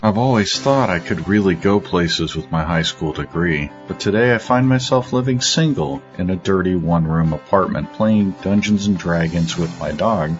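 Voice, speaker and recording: A man playing a pathetic middle-aged loser in a parody advert testimonial, earnest and deadpan. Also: low-pitched, moderate pace, few pauses, muffled recording, soft background music, natural voice. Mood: self-pitying.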